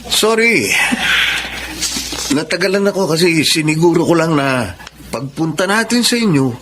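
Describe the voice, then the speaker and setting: An actor playing an apologetic, evasive young two-timing boyfriend in a Filipino radio drama. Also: male voice, a little high, expressive, moderate pace, background noise, close to an everyday voice. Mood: easygoing. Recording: radio drama with music underneath.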